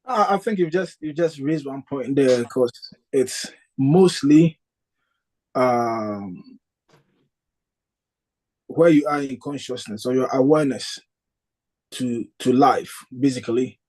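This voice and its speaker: A man's voice: medium pitch, slow, long pauses, a little mellow, low-quality recording. A man from Ghana taking part in a spiritual discussion over a video call, thoughtful and hesitant, with frequent fillers. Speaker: discussion participant.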